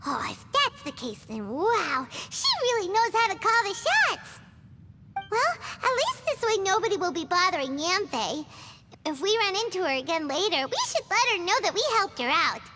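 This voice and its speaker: A woman voicing a tiny, excitable female fairy companion in a video game, childlike, cutesy and naive. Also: extremely high pitch, moderate pace, in a large hall, background noise. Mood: pondering. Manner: cutesy, naive, refers to herself by name. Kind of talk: cartoonish video game voice acting.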